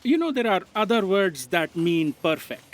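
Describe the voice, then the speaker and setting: A woman's voice: lowish voice, sing-song, moderate pace, slight background noise. A woman playing a cautious, dutiful office aide, hesitant and deferential, in a comic audio drama. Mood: doubtful.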